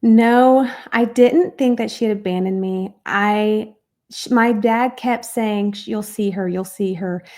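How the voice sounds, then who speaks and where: A woman guest on a podcast, telling her life story in an earnest, animated way. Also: medium pitch, a little slow.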